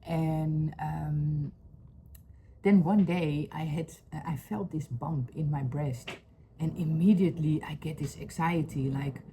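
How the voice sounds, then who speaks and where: Low mellow dutch lady vlogging at home, slow and searching for words, lots of uh and um, faint hum behind. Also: fairly even intonation, low-quality recording. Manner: searching for words, many fillers, soft and earnest. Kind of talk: personal heartfelt talk to camera. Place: home room with a couch.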